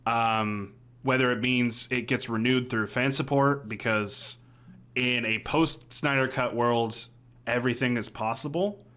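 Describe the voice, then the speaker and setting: Man, mid-pitched casual podcaster. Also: unhurried, pushed and bright. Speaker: podcast co-host. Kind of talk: casual chat. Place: home room, video call.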